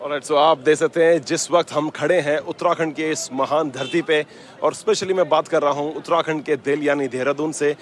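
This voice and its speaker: Higher-pitched man reporting on location. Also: fairly even intonation, moderate pace. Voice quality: mixes English phrases into Hindi. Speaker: radio station host and interviewer at an expo. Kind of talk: live on-location event coverage. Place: busy expo grounds.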